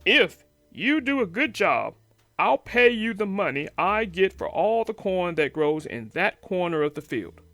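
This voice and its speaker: A woman voicing a gruff, practical older male bear farmer in a children's storybook, talking in a slow, deliberate way. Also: on the low side, very animated, a bit bright, no echo, soft background music, pitched below the performer's own voice, exaggerated voice. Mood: firm, instructive.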